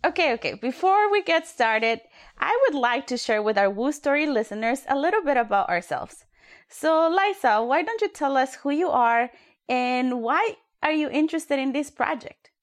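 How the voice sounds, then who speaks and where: Woman co-host from Ecuador, chatty and friendly, a bit high-pitched. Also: lively intonation, moderate pace. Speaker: podcast co-host, youth worker. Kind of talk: casual podcast hosting.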